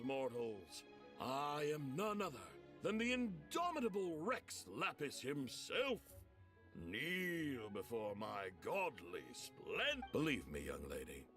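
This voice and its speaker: Pompous role-play devotee, hugely animated pitch, slow grand delivery, exclamatory, video game. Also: male voice. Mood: grandiose. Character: theatrical, pompous role-play enthusiast man, grandly effusive. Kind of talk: scripted video game dialogue.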